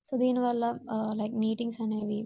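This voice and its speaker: A woman's voice: fairly high pitch, level tone, fairly quick, vocal fry, no echo. A woman with a Telugu accent teaching in an instructional classroom-lecture manner, mixing in English terms, on dull, muffled audio. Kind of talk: classroom lecture reading out points and explaining them.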